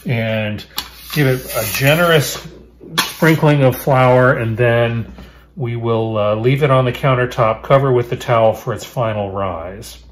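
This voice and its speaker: A man in his fifties hosting a home cooking show, explaining each step in a relaxed way as he works in his kitchen. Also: medium pitch, unhurried, slight background noise. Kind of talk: casual cooking demonstration.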